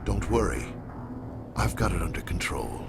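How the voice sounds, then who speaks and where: Cold alien villain from a scifi game, low n gravelly, calm menace, loud score behind. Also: male voice, normal speed, over loud music, heavily acted. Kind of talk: cinematic sci-fi game acting.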